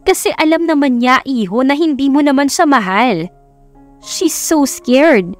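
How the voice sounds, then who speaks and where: A woman's voice: high-pitched, animated, a little slow, soft background music, pitched above the performer's own voice. Woman voicing a concerned Filipino mother. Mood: sad, pleading. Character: gentle, concerned older wealthy mother of grown son.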